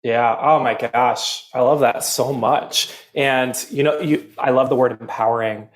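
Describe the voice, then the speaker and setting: A man guests on a podcast and explains things in a calm, even way. His voice sits in an ordinary middle pitch. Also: a little slow. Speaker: guest health coach. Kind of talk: casual interview chat.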